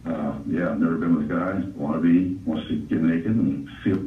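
A man's voice: a bass voice, steady tone, normal speed, slight background noise. A man speaking as a prank caller over a telephone line to a live show. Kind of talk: prank phone calls to live shows. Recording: tinny phone line.

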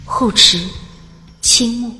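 清冷威严女神，带混响. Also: female voice, slow pace, pushed and bright, roomy sound, big-room sound. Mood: puzzled. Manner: cool, stern, authoritative. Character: cold, imperious ancient goddess, commanding. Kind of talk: Chinese audio drama acting.

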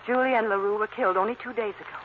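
Inquisitive young-lady-sidekick type, actress, anxious, vintage radio mystery with clear background noise. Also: medium pitch, steady tone, racing, lightly acted. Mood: curious. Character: inquisitive, anxious female companion of a detective. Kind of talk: 1940s radio drama acting. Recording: muffled, noisy old radio recording.

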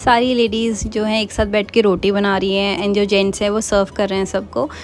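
A woman's voice: mid-range voice, level tone, a little fast. A young woman from Uttarakhand, India, chatting casually as she narrates her family vlog. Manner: chatty, mixes Hindi and English. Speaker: vlogger.

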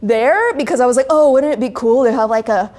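A young woman with a fairly high voice talks casually as a guest in an interview. She explains her craft informally and animatedly, slipping into little imagined quotes along the way. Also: a little fast, darkish tone. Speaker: webcomic creator being interviewed. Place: indoor studio set with brick wall.

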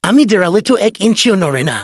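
A man voicing a worried, chummy tortoise with a husky voice in a children's cartoon. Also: high-pitched, sing-song, fast talker, no echo, clean studio sound. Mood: alarmed. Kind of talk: dubbed children's cartoon dialogue.

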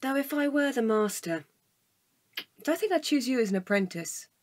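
An actress playing a level-headed, exasperated woman in a comic audio drama, speaking in a deadpan, matter-of-fact way. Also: high voice, normal speed.

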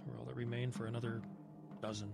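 A man playing a haunted woodsman survivor in a horror audio drama, telling his tale in a halting, dramatic way with swelling emphasis. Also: mid-range voice, level tone, vocal fry, loud music behind the voice, natural voice. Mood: dread, awe. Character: haunted male woodsman survivor recounting a terror.

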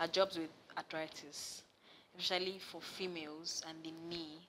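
A young Nigerian woman with a Nigerian accent, a massage therapist chatting as an interview guest and telling stories with animated bursts, recorded in a studio. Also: normal speed, crowd noise, low-quality recording. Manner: animated storyteller, rises loud and high when excited. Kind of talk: casual interview chat. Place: studio set with sofa, indoors.

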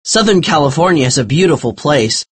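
A woman's voice: sits low, animated, a little fast, breathy edge, heavily acted. A reader doing an earnest teenage boy. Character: friendly teenage boy Bill.

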